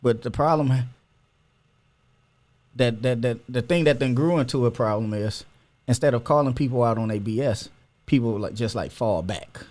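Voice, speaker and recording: A man's voice: medium pitch, normal speed, darkish tone. A man hosting a casual podcast, talking loosely and opinionated with lots of slang. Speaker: podcast host.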